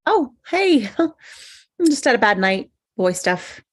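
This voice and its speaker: A woman's voice: a little high, big pitch swings, slow pace, exaggerated voice. A woman reading the part of a hapless, lovelorn maid of honor at a casual screenplay table read. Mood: casual, sheepish.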